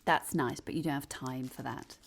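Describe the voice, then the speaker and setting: An actress playing a brisk, dismissive office boss in an audio drama. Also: female voice, rumbling low, fairly even intonation, gravelly, heavily acted. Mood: dismissive, softening.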